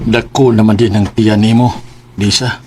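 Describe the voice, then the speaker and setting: An actor playing a calm, forgiving middle-aged farmer father in a radio drama, with a Cebuano accent. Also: lowish voice, normal speed, soft background music, natural voice. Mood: accepting, gentle.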